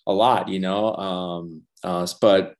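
An older man whose first language is Spanish, talking earnestly in a casual interview, halting with many restarts and fillers. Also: deep voice, steady tone. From Los Angeles. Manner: earnest, reflective, faith-centered. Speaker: animation professor and former feature animator, guest.

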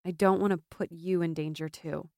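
A woman's voice: on the low side, fairly even intonation, normal speed, warm tone, no room sound, studio quality, close to an everyday voice. A woman voicing a proud, bitter exiled warrior noblewoman in a fantasy audiobook, firm and wry. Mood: gentle, firm.

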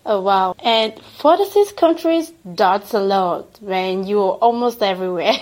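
A woman with a Nigerian accent hosting a podcast interview, enthusiastic and quick to laugh, with many exclamations. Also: mid-range voice, expressive, a little mellow. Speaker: podcast host. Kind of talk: casual interview chat.

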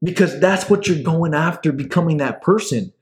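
A young business owner hosting a podcast, talking casually and earnestly, with frequent swearing and motivational advice. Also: male voice, fairly high pitch, moderate pace. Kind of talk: casual chat.

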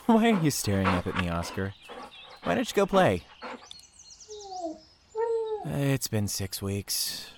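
An actor playing a grieving, shaken young man in an audio drama, hurt and guarded, stammering haltingly through his words. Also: fairly low pitch, wildly swooping pitch, background noise, exaggerated voice. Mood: subdued, wary.

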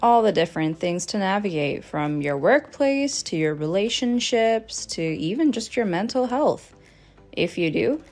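Earnest young Canadian woman hosting a podcast. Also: fairly low pitch. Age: 20s. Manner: friendly and earnest, with frequent fillers and soft laughs. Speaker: podcast host. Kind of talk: casual solo podcast talk.

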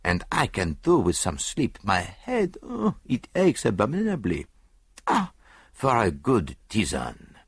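A man voicing a dapper, self-satisfied little foreign detective in an audiobook, speaking precisely and deliberately. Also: fairly low pitch, huge pitch swings, slightly rough, no room sound, clean studio sound, heavily acted. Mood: cheerful, weary.

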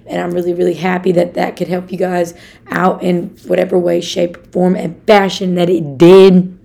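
Raspy upbeat woman podcaster. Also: fairly low pitch, monotone, normal speed, mellow. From Arkansas, USA. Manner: upbeat, chatty, addresses listeners as y'all. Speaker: podcast host. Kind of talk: casual motivational podcast.

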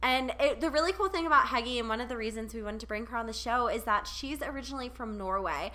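A young woman co-hosting a casual podcast. Her voice sits high, and she speaks with upbeat, eager enthusiasm. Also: fast talker, sharp tone. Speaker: podcast co-host. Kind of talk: casual interview chat.

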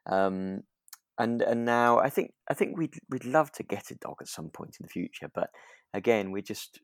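A man's voice: medium pitch, expressive, normal speed, creaky, no room sound. British man chatting, close dry sound. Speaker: podcast co-host. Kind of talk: relaxed casual chat about a radio drama.

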